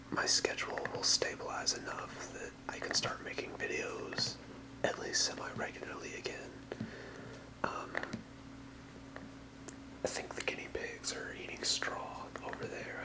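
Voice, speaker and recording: An ASMR creator whispering softly and casually, in a hesitant, apologetic way. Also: low-quality recording. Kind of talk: whispered ASMR, casual rambling card-deck showcase. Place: apartment room.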